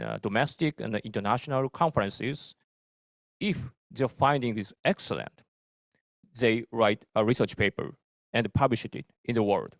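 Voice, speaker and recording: A male professor answers a panel question. His voice is low-set and gravelly, and he speaks very slowly with many "uh" pauses. The sound is dry, with no room echo. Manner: measured, hesitant with "uh" pauses.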